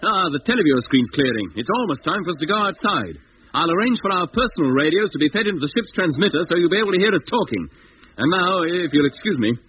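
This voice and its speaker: An actor playing a decisive, commanding yet reassuring spaceship captain in a 1950s radio drama. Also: male voice, high-pitched, big pitch swings, fast talker. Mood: proud, composed. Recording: dull, noisy 1950s radio recording.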